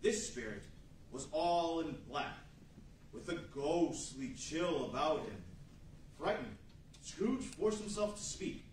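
A man's voice: a little high, animated, slow. A man narrating a scripted story for a radio play. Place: large meeting hall before an audience. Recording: very echoey distant hall, faint noise.

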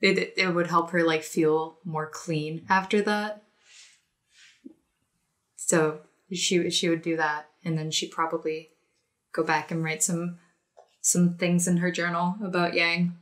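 A woman's voice: on the low side, level tone, slow, pauses often, fairly bright tone. A woman chatting casually as a player in a tabletop game podcast, describing what her character does.

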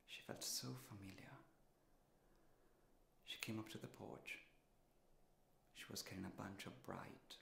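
An actor playing a despairing, insecure man in his thirties in a confessional, halting dramatic monologue. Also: on the low side, gravelly, a little hiss or hum. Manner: confessional, halting, deliberate pauses. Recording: roomy, echoing sound.

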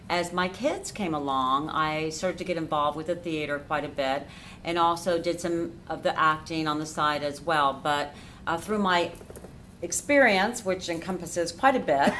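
A woman's voice: on the low side, a bit bright, a little hiss or hum. A middle-aged American woman from Florida giving conversational answers as an interview guest. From Florida, United States. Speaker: interview guest, cinema cafe owner. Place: inside a dim cafe theater.

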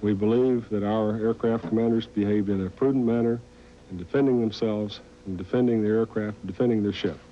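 Male American official, forties, low deliberate delivery, briefing, faint noise. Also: fairly even intonation. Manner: blunt, deliberate. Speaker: Pentagon spokesman. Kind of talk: press briefing. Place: briefing room at a podium. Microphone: podium mic. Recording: muffled archival tape, faint noise.

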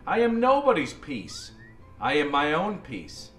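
A man's voice: on the high side, wildly swooping pitch, measured pace, fairly bright tone, echoey room, soft background music, heavily acted. A man voicing a blunt, independent little puzzle piece in a storybook, firm and matter-of-fact. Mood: blunt, dismissive. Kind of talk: storybook character voice.

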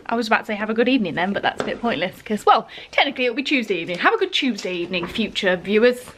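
A woman's voice: mid-range voice, lively intonation, very fast, a bit bright, a little hiss or hum. A young English woman talking casually to her camera, chatty and upbeat with lots of fillers. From England. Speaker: vlogger. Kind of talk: casual vlog chat to camera. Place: car, then a home kitchen. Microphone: handheld camera at arm's length.

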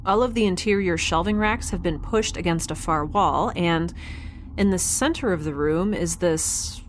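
A woman playing a young, geeky, kindhearted space scientist in an audio drama, recording an informal log in a halting, self-correcting way. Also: on the low side, a little hiss or hum, close to an everyday voice. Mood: curious, observing.

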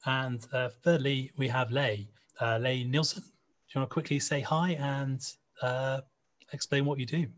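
A man moderating a live panel discussion over a video call, chatty and quick to laugh. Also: mid-range voice, steady tone. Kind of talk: casual panel hosting.

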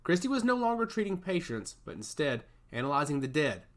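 A man narrating a story as an audiobook with an expressive, storytelling cadence. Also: a little high, fairly quick.

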